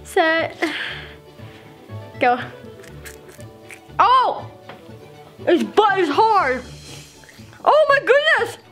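A woman's voice: very high-pitched, sing-song, extremely slow, pauses often, pushed and bright, faint music behind. A young woman at a family game at home, playful and squealing over eating bugs. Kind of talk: casual family game chat. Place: home dining room.

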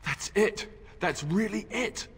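A man's voice: sits high, slow pace, a bit bright, breathy edge, full character voice. A man playing an earnest knight companion in a video game, speaking with pious awe. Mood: awed wonder. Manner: awed, earnest.

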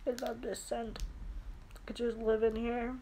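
A woman's voice: fairly high pitch, little pitch movement, normal speed, noisy background. A young woman with a head cold and a stuffy nose, chatting casually and rambling through a product haul in a small room on a close phone camera. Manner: chatty, rambling, with many fillers. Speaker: beauty vlogger. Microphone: phone camera held close.

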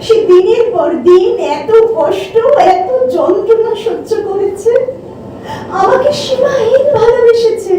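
A woman's voice: very high voice, a little slow. A woman acting a grief-stricken, guilt-ridden lover in a melodramatic audio drama, tearful and repeating her words. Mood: guilty anguish. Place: multipurpose hall. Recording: noisy, very echoey hall, far off. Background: loud ambient noise.

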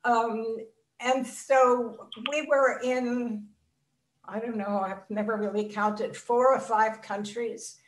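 A woman's voice: a little high, steady tone. Older woman, from Czechoslovakia, reflective testimony, echoey room, distant mic. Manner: measured, reflective storytelling with pauses. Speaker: Holocaust survivor speaker. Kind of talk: personal testimony in an interview. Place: home room. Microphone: video call. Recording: echoey video call audio from a distance.

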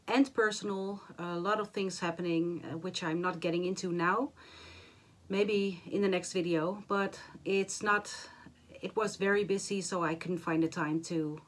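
A woman in her forties, hoarse and a little nasal after the flu, chatting to camera about her stitching projects from a small home craft room, with many fillers and restarts. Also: on the low side. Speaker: cross-stitch vlogger. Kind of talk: casual chat to camera.

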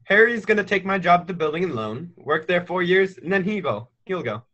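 Casting: young male actor as earnest, loyal youth, high bright voice, radio play, slightly dull recording. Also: expressive, fairly quick. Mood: casual, eager. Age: young adult. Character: eager, loyal young man dreaming of travel.

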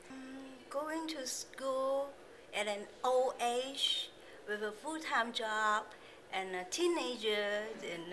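A woman in her forties, a community college student, speaking earnestly in halting English in an interview. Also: fairly high pitch, pushed and bright, slight background noise. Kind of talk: casual interview. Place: college lounge indoors.

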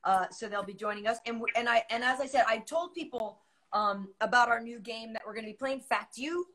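A woman in her forties from Boston hosting a casual show over a video call, friendly and brisk as she steers the conversation. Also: on the high side, a bit bright. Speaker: host. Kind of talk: casual chat. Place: home room.

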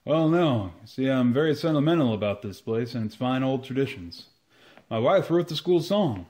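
A man's voice: mid-range voice, expressive, normal speed, a little mellow, close to an everyday voice. A man playing a sentimental, easily offended elderly landowner, plain-spoken and courtly, in an old-time radio comedy.

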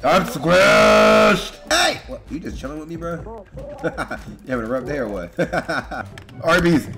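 A young man with a raspy, strained, partly lost voice giving excitable, slangy gameplay commentary, swinging from muttering to yelling. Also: on the high side, takes it slowly. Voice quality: hoarse, raspy. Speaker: gaming video host. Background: music behind the voice.

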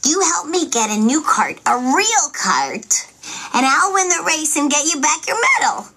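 A woman's voice: sits high, big pitch swings, moderate pace, fairly bright tone, exaggerated voice. A woman voicing a cheeky, scheming little girl kart racer, sassy and exclamatory, in a storybook recording. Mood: bargaining.

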